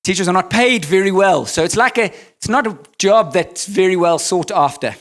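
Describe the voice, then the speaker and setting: South African pastor preaching in English. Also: male voice, high voice, lively intonation, moderate pace, fairly bright tone. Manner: candid, self-deprecating storytelling. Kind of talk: sermon with live interpreter.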